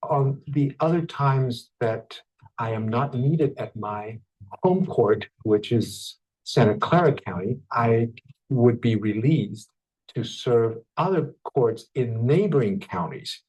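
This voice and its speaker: A man from Vietnam speaks at an average pitch. He describes his profession in a documentary interview style. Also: lively intonation, takes it slowly, darkish tone, husky, poor audio. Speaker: court interpreter.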